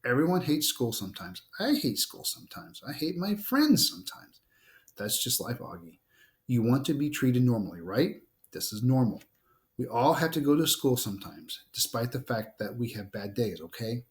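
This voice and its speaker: A man's voice: mid-range voice, big pitch swings, moderate pace, natural voice. A man reading the part of a caring, exasperated teenage older sister in a storybook, insistent and reasoning. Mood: persuasive. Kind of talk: storybook read-aloud dialogue.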